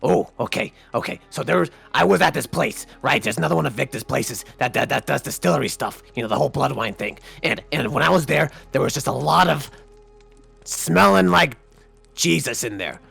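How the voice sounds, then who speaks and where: Man as a very creaky, scatterbrained vampire underling. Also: medium pitch, lively intonation, brisk, fairly bright tone, faint music behind, heavily acted. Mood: rambling, eager. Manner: rambling, informal, repetitive. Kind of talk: Minecraft roleplay acting.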